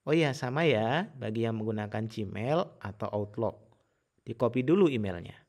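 Man with lively, varied pitch. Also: normal speed. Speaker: tutorial presenter. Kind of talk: casual step-by-step screen tutorial.

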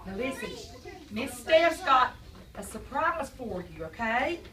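A woman's voice: high-pitched, very animated, takes it slowly, a bit bright, slight background noise. A woman teacher, quizzing and praising a class of young children after reading aloud. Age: adult. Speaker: preschool teacher. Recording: echoey classroom from far off.

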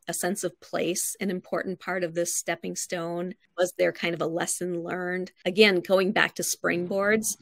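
Gravelly-edged woman coaching, close dry sound. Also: on the low side, dry recording. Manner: encouraging, instructive. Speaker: guest writing coach. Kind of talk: conversational explanation in an interview. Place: home room, indoors, on a video call. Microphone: video call.

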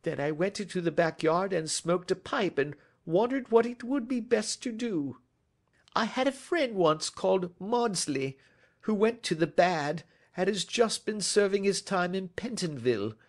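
A man's voice: high voice, voice pushed up. A man voicing a frightened, cringing small-time thief in a detective audiobook, pleading and servile, with a cracking voice. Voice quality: cracking, shrieking when desperate. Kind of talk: classic detective audiobook character acting.

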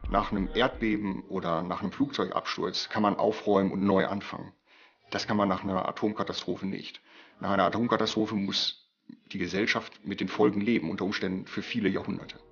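Guy with a deep gravelly voice explaining stuff as an expert, matter of fact. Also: little pitch movement, fairly quick, fairly bright tone, dull audio, soft background music. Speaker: expert interviewee. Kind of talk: explanatory interview commentary.

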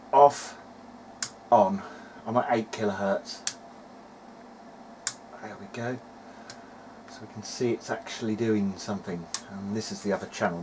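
A man's voice: lowish voice, slight background noise. A man giving a casual technical narration of an electronics repair as he works, thinking aloud a little hesitantly. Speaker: hobbyist presenter of an electronics repair video diary.

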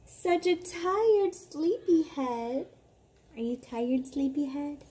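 A young woman speaking to a small child in a gentle, drawn-out way, as in a story time for toddlers. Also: sits high, animated. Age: young adult. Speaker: parent reading to a toddler. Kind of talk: singing and story reading for toddlers. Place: covered backyard patio, outdoors. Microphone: camera at about arm's length. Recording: clear background noise outdoors.

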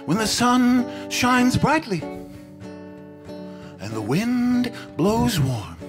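An older man, a singer-songwriter, singing his own songs into a stand mic on a small cafe stage, with soft, halting spoken introductions between songs. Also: extremely high pitch, big pitch swings. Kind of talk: singing original songs with acoustic guitar. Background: his own acoustic guitar.

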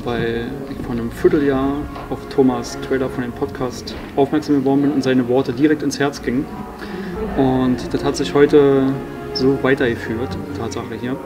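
Man in his thirties, earnest measured testimonial, mid pitch, distant mic, music behind. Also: flat delivery. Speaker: seminar participant. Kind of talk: interview testimonial. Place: indoor sports hall.

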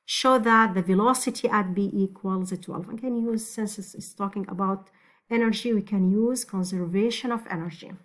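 A woman teaching physics, talking step by step through exam problems over a screen recording, with frequent restarts. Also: medium pitch. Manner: slow, step-by-step explaining with restarts.

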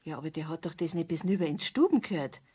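A woman's voice: low-pitched, expressive, fast talker, dark tone, slightly rough. An actress playing a chatty, nosy, fussy older neighbour woman with a Bavarian accent in a radio play. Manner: gossipy, rambling.

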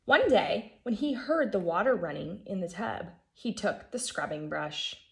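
An American woman in her thirties, a first grade teacher reading a children's storybook aloud in an expressive, emphatic way. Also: medium pitch, measured pace, creaky. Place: living room at home, indoors. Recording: echoey room.

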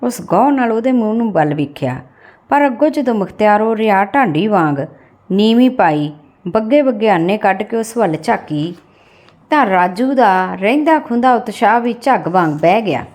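A woman reads a literary story aloud as an audiobook narrator, with expressive delivery. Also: medium pitch, normal speed.

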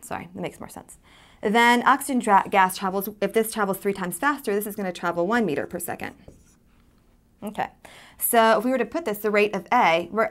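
A woman in her thirties teaching chemistry at a whiteboard in a studio, explaining step by step with many fillers. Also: mid-range voice. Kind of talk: whiteboard lesson. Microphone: lapel mic.

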